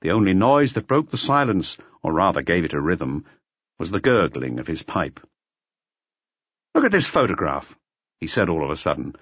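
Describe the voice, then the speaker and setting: Lower-voiced, expressive male audiobook narrator. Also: fairly quick, no room sound, highs cut off. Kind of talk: scripted dramatic narration.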